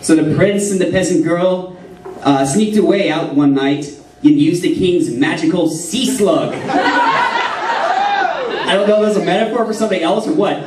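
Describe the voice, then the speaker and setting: A man performs a playful stage routine for a crowd, his voice sitting high and bouncing around a big hall. He jokes and laughs between lines, sounding distant from the mic. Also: wildly swooping pitch, a little slow, long pauses, noisy background. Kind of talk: live stage routine with audience. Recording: roomy hall sound from far off.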